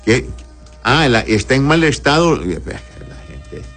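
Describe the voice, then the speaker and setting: A man's voice: mid-range voice, very animated, slow pace, fairly bright tone, faint music behind. A man with a Honduran accent, a doctor who reads out health questions and answers them informally, often repeating and rephrasing as he explains. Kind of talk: informal medical advice, reading questions aloud.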